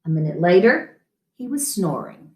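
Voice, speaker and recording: A woman's voice: on the low side, big pitch swings, moderate pace, dark tone. A middle-aged woman reading a children's picture book aloud as a story time host, in a room at home. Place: small room at home, indoors. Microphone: camera mic a few feet away. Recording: roomy echo.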